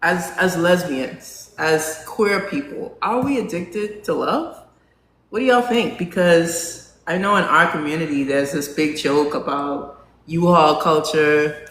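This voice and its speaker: A non-binary host in their forties chatting casually with a live audience, asking them questions and filling pauses with "um". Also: mid-range voice, a bit bright. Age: 40s. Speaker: live talk show host. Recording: roomy echo, faint background hum.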